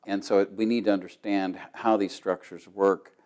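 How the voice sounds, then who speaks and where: Lowish voiced guy explaining in an interview, plain spoken, pauses between phrases. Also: flat delivery, darkish tone. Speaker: interviewed speaker.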